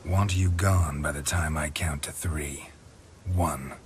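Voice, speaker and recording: An actor playing a stoic, grizzled monster hunter in a fantasy video game, with a gravelly voice and a terse, deadpan delivery. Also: male voice, slow pace, a little hiss or hum. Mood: quiet menace. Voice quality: gravelly, husky low voice. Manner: terse, deadpan, clipped questions. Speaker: player character in a fantasy role-playing game.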